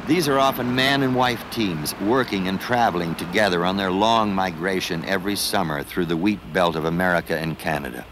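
A man reading scripted documentary narration in a measured, lyrical storytelling way. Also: low voice, background noise.

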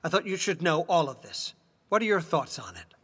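A man's voice: on the high side, big pitch swings, fairly quick, a bit bright, breathy edge, full character voice. A man playing an anxious middle-aged husband, pleading and exasperated, in a dubbed stage drama. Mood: worried, persuading. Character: anxious, pleading husband afraid of losing his job. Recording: reverberant hall-like sound.

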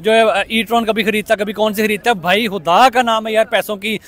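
A man's voice: sits high, fairly even intonation, fairly quick, a bit bright. A young man speaking mockingly and with exasperation in a street interview at night. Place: city street at night, outdoors.

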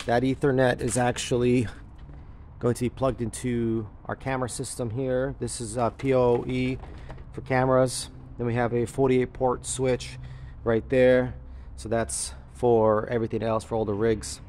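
A man's voice: mid-range voice, flat delivery, moderate pace, darkish tone, slight background noise. A man gives a casual, matter-of-fact, unscripted walkthrough, explaining things as he films on a handheld camera. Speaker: crypto mining farm operator giving a walkthrough. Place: large indoor warehouse with concrete floor. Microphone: handheld camera or phone held by the speaker.

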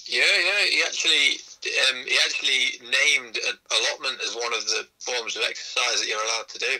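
A British man chatting casually and matily, heard over a phone played on loudspeaker. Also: medium pitch, normal speed, rough recording. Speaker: friend interviewed by phone. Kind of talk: casual chat. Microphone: phone speaker picked up in the room.

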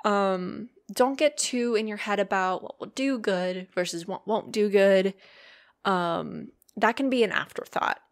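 A young woman with a slightly hoarse voice chats loosely into a home mic. She rambles along, filling gaps with ums and likes, in a mid-pitched everyday voice. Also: measured pace. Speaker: podcast host and roleplay series writer. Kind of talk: casual solo podcast chat.

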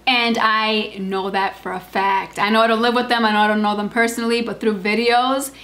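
A woman's voice: a little high, level tone, a little fast, a bit bright. A woman hosting a casual chat, blunt and supportive, with some swearing. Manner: blunt, backs up guests, swears. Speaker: host.

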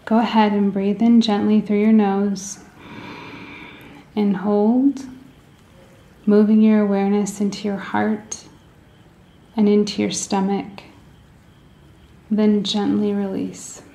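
A woman leading a guided meditation in a soothing, gentle way, with deliberate phrasing and pauses, in a roomy, echoing recording. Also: medium pitch, little pitch movement, a little hiss or hum.

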